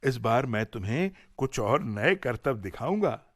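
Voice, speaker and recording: Narrator as earnest, wronged folk-tale simpleton. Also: male voice, mid-range voice, big pitch swings, a little slow, dry recording, clean studio sound. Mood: boastful. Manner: earnest, reproachful, commanding. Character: wronged, simple-hearted folk-tale man demanding his things back.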